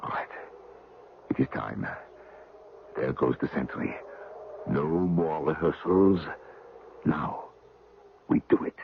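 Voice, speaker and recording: Deep, gravelly old general. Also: male voice, slow pace, pauses often, exaggerated voice. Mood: hushed, urgent. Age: 60s. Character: French general in his sixties, tall, wry, resolute prison escaper. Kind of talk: old-time radio drama acting. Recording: dull, noisy old radio recording.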